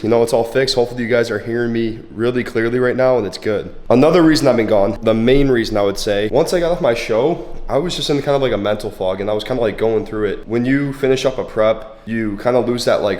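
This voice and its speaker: A young man in his twenties with a congested, slightly hoarse voice, chatting casually and profanely with lots of filler words in a fitness vlog. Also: fairly low pitch, darkish tone. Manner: laid-back, profane, full of "like" fillers. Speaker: fitness vlogger. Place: bedroom at home, then a gym.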